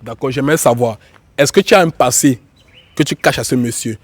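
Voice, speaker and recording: A man's voice: medium pitch, fairly quick, dry recording. A man with a Cameroonian accent and a cold, hosting an online show in an informal, repetitive way as he addresses viewers and guests. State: has a cold. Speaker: YouTube show host.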